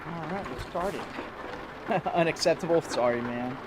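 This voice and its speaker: Brazilian male streamer, native Portuguese speaker, easygoing chat to chat viewers, mid-high voice, noisy background. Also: measured pace. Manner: chatty, greets viewers by name, laughs easily. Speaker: live streamer. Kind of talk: casual live stream chat while driving a sim. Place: home sim rig.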